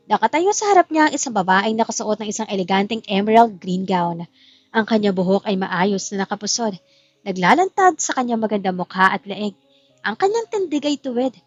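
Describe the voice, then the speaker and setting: A woman's voice: medium pitch, no echo, lo-fi sound. A woman as narrator, reading a scripted love story in dramatic style. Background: music.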